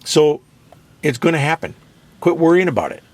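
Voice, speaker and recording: A man's voice: on the high side, big pitch swings, moderate pace, a little mellow, no room sound. A man hosting a radio show, talking in an emphatic, opinionated, casual way and leaning on "you know" and "guess what". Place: radio studio.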